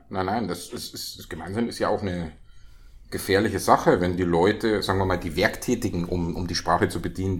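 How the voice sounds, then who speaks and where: Brief: West German man, lowish voice, ironic and animated in discussion. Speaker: discussion partner. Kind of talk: casual political chat.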